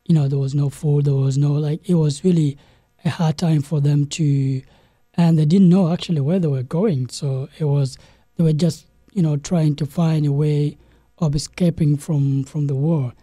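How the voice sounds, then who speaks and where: Young man, from South Sudan, interview guest, husky dark tone, flat pitch, hesitant speech. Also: no room sound. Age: young adult. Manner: halting, many restarts and "you know". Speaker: guest, game developer. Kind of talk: interview storytelling.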